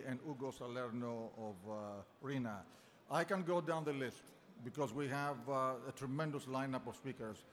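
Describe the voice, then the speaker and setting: A man hosting an event luncheon, giving an unscripted, halting welcome speech and thanking his guests. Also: mid-range voice. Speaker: event host and organizer. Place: large banquet hall. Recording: long reverb of a large hall.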